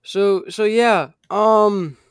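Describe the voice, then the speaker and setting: A young male podcast host interviewing a guest, hesitant and filling pauses as he thinks. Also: high voice, steady tone, clear, resonant tone, dry recording, studio quality. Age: young, teen or younger. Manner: hesitant, many fillers and restarts.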